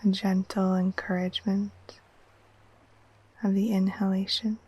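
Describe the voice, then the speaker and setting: Very slow, soft-spoken woman guiding sleep meditation. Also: medium pitch, rough recording. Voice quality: soft, breathy, half-whispered. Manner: soothing, gentle, unhurried with long pauses. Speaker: yoga nidra guide. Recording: faint steady wash of ocean waves.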